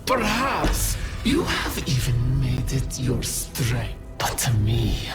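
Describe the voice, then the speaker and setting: Very slow, menacing zealot villain. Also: male voice, medium pitch, wildly swooping pitch, over loud music. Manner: deliberate, taunting, theatrical. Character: menacing male zealot villain savoring suffering. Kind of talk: video game voice acting.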